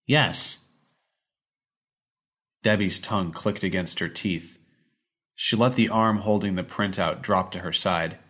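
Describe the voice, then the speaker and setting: A man's voice: deep voice, steady tone, moderate pace, fairly bright tone. A man reading a scripted short story as an audiobook narrator.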